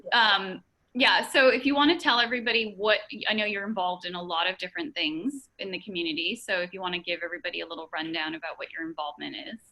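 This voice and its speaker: Bright, chatty young woman host. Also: fairly low pitch, quick pace, poor audio. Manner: chatty, upbeat, many fillers. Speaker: interview host. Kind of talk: casual video-call interview.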